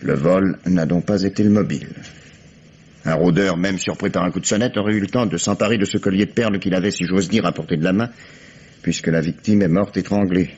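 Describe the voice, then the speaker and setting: A shrewd police commissioner speaks with measured, ironic authority. His voice is deep and husky. It sits on a dull, lo-fi 1950s radio drama recording. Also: darkish tone. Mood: calm.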